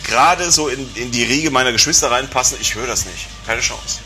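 A man's voice: mid-range voice, a little fast, pushed and bright, soft background music. A middle-aged man chatting casually in a rambling, joking and emphatic way. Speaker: conversation participant. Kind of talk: casual chat.

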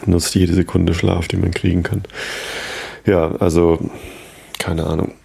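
Low gravelly-voiced man in his thirties. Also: flat delivery, measured pace. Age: 30s. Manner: rambling, self-questioning, soft-spoken. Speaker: podcast host. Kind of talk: casual chat then sleepy reading aloud.

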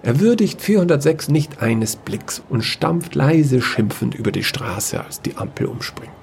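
A man's voice: mid-range voice, very animated, a little slow, creaky, a little mellow, a little hiss or hum. A man reading scripted narration for a science-fiction fairy tale audio story.

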